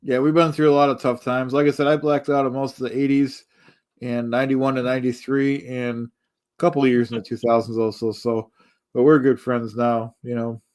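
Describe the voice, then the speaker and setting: A laid-back male sidekick, as a man plays him on a comedy stream, agreeable and easygoing. His voice sits at an ordinary middle pitch. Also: level tone, moderate pace, darkish tone, natural voice. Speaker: stream co-host.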